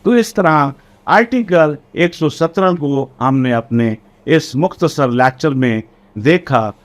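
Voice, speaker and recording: A man's voice: fairly high pitch, expressive, slow pace. A man with a Pakistani accent giving an explanatory law lecture in a didactic way, repeating and rephrasing key points. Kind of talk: explanatory law lecture mixing Urdu and English.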